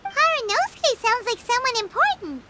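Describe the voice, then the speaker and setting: A woman voicing a tiny, excitable fairy-like companion who talks about herself in the third person, in a game cutscene. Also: very high-pitched, moderate pace, heavy background noise. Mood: chirpy.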